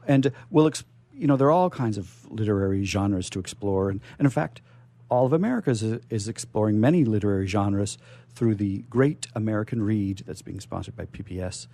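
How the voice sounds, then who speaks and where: A man's voice: lowish voice, animated, brisk, warm tone. A man hosting a radio show, chatting casually and enthusiastically with his guests. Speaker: radio host. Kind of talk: casual on-air interview chat.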